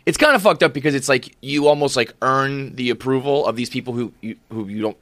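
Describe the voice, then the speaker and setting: A young man with a bright, forceful voice talking fast and loose on a podcast. He gets excited, stumbles over repeated words and swears often. The sound is close and dry. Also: mid-range voice, dry recording. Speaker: podcast co-host. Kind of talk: casual chat.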